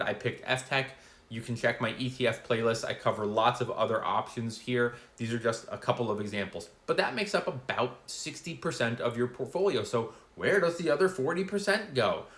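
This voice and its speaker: A man in his forties talks straight to camera in a relaxed, chatty way, tossing in offhand asides as he explains. His mid-pitched voice rings in a noticeably roomy space. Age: 40s. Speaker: finance video host. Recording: roomy echo.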